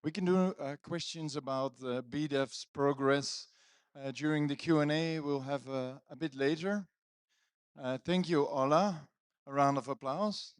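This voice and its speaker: A man's voice: a little high, a little slow, smooth, dry recording, clean studio sound. A man hosting a conference on stage, casually bantering and teasing the audience and his guest into a microphone. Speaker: conference host. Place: conference stage at live event. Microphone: handheld stage microphone.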